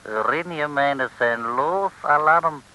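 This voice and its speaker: An actor playing a pompous, scheming island ruler as a broad comic caricature, speaking ceremonious pidgin in an old radio serial. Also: male voice, a little high, slow pace, pushed and bright, rough recording. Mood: crafty, smug. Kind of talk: 1950s Dutch comic radio serial acting.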